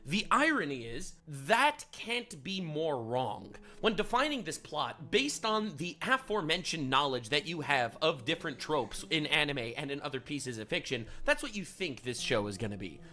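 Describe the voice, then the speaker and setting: Bright-voiced man narrating an essay. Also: fairly high pitch, very animated, moderate pace, hardly any pauses. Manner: earnest, explanatory. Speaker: anime video essayist. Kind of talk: scripted video essay narration.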